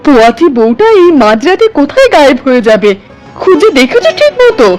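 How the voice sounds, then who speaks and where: A woman's voice: sits high, very animated, fairly quick, darkish tone, soft background music, rough recording. A woman playing an anxious, protective village auntie in a horror cartoon, worried and insistent.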